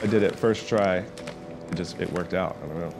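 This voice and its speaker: A man's voice: deep voice, moderate pace, over loud music. A middle-aged man from Oklahoma City whose first language was Vietnamese, telling his skating story in a loose, laid-back way, full of "like" and swearing. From Oklahoma City, USA. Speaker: professional skater, interviewee. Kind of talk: casual interview storytelling. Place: his restaurant.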